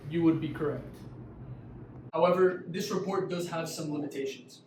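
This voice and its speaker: A young man playing an economist who explains things deliberately, recorded from far off in a very echoey room. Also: fairly high pitch, darkish tone, a little hiss or hum, natural voice. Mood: explaining.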